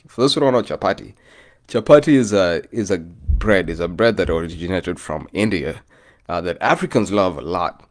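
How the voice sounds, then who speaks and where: A man with a Kenyan accent, chatting loosely to his viewers as a vlogger and often calling them "bro". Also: deep voice. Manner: loose and chatty, often says "bro", greets live commenters. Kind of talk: casual rambling chat to viewers.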